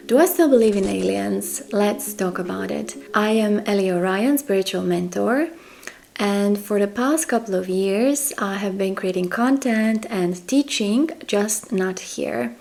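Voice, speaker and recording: A woman from Croatia with a Croatian accent, a spiritual mentor talking earnestly and deliberately to camera. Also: mid-range voice, gravelly, darkish tone. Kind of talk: talking-head monologue to camera.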